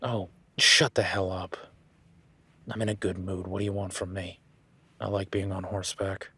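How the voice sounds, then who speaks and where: A man's voice: low-pitched, little pitch movement, moderate pace, sharp tone, breathy edge, heavily acted. A man voicing an easygoing male cowboy ranch hand in an audio roleplay, talking in a laid-back, folksy way with casual swearing.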